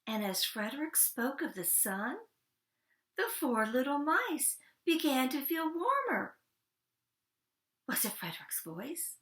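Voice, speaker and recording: A woman narrating a children's storybook, with deliberate, expressive phrasing and pauses between phrases. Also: high-pitched.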